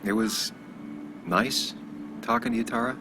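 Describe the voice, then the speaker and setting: A man playing a weary, dry-witted journalist in a video game, speaking in a low-key, deadpan way. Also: measured pace, background noise, close to an everyday voice. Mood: subdued. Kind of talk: naturalistic video game dialogue.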